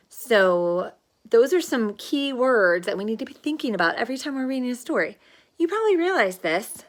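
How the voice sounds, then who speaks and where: Woman, elementary teacher, bright medium voice, animated read-aloud delivery with dramatic emphasis. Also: medium pitch. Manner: encouraging, checks understanding with questions. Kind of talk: expressive picture-book read-aloud with teaching asides.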